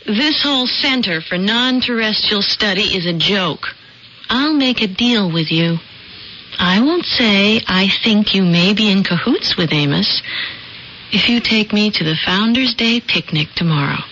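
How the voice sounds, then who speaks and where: A woman's voice: mid-range voice, lively intonation, a little slow, bright, forceful tone, slight background noise. An actress playing a skeptical, teasing young small-town woman in a radio drama, wry and direct. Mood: wry, challenging. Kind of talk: 1970s radio drama acting. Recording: dull old radio broadcast, faint music.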